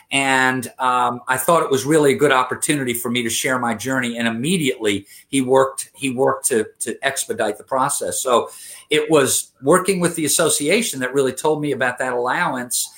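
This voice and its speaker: Earnest fifty-something guy being interviewed, middle pitch, lots of ums and restarts. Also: bright, forceful tone. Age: 50s. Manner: earnest, many restarts and fillers. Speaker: interview guest. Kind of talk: conversational interview.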